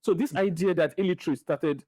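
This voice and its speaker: A middle-aged man with a Nigerian accent giving opinions in a podcast interview, speaking deliberately and repeating phrases for emphasis. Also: sits high, dark tone, no room sound, studio quality. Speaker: interview guest, film director and writer.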